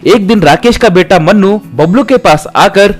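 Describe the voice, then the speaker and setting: A man's voice: high voice, lively intonation, normal speed, soft background music. A man reading a scripted moral tale as a storytelling narrator.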